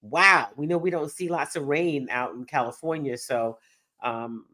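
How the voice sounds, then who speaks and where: A woman's voice: rumbling low, unhurried, sharp tone. A middle-aged woman from Texas hosting a live sports talk show, her voice nasal from a cold, upbeat and chatting directly with viewers. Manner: upbeat, addresses viewers directly, reads chat aloud. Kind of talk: live-streamed casual show chat.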